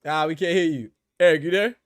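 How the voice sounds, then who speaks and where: A man's voice: fairly high pitch, fast, dry recording, studio quality. Hype-affirming podcast host man. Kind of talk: podcast hosting.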